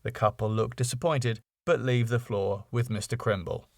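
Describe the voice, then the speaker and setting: A man's voice: medium pitch, fairly even intonation, normal speed, creaky, dry recording, clean studio sound. A man narrating a comedy script, reading out scene headings and stage directions. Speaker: writer and narrator.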